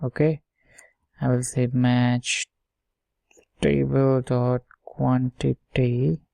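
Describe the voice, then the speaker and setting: A man gives an informal software walkthrough in a mellow voice with little movement in pitch. He goes step by step, haltingly, checking himself as he works. The sound is dry and close. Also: little pitch movement, vocal fry, no echo. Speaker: software tutorial instructor. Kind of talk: informal screen-share coding tutorial, thinking aloud.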